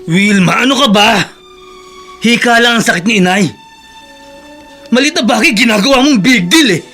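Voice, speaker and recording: A man's voice: very high-pitched, expressive, normal speed, pushed and bright, exaggerated voice. An actor playing a bitter, defiant, drunken young Filipino man in an anguished, melodramatic radio drama style. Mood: dismissive. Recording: faint music behind.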